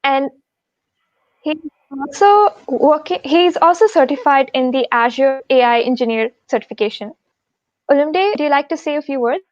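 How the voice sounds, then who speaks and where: Female host, high voice, friendly and unhurried; dry, dull call audio. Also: no echo. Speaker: host of an online tech session. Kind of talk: live-stream introduction.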